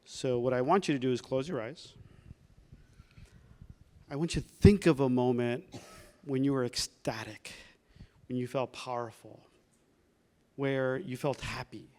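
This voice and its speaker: A man, a doctor leading a workshop, guiding a reflection exercise with slow, calm prompting questions. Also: medium pitch.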